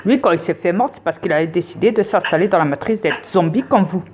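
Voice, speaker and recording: A woman's voice: on the low side, quick pace, darkish tone, a little hiss or hum. A woman lecturing viewers insistently in a rambling casual monologue, with many fillers. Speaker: conspiracy video host.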